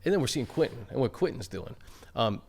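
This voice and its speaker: A man's voice: mid-range voice, very animated, fairly quick, a little mellow, breathy edge. A man hosting a casual sports podcast, conversational, recounting things in short clipped phrases. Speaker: podcast host.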